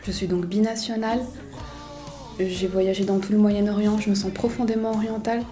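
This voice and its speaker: Female interview guest, mellow and level, earnest, reflective, repeating phrases for emphasis. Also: medium pitch, little pitch movement, fairly quick, faint music behind. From suburbs of Paris, France. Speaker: podcast guest, communications consultant.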